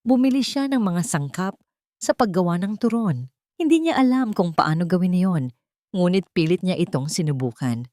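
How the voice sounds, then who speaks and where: Female storyteller for scripted romance audio drama, lower register, very dry recording. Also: expressive, normal speed, warm tone, no room sound.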